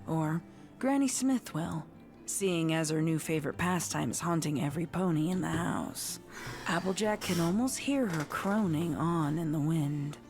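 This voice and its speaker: Female horror narrator, low register, unhurried and suspenseful dramatic read, music underneath. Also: lively intonation, a little mellow. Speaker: story narrator.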